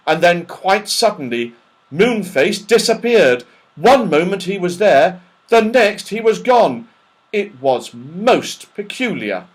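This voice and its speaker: A man narrating a children's storybook in an expressive, dramatic, animated way. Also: on the high side, low-quality recording. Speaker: audiobook narrator.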